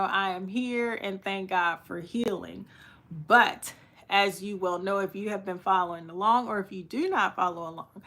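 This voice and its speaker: Slow-talking raspy woman hosting a live chat. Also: medium pitch, bright, forceful tone. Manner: chatty, laughing, greets viewers by name. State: raspy from recent flu. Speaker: livestream host. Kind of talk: casual livestream chat with viewers.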